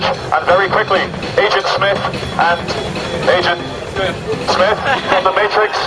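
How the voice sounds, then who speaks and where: High-pitched man orating in the street. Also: rough recording. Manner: declamatory, repetitive slogans. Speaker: street activist addressing passers-by. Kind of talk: public street oratory, satirical proclamation. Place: outdoors on a city street. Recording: street recording with loud music.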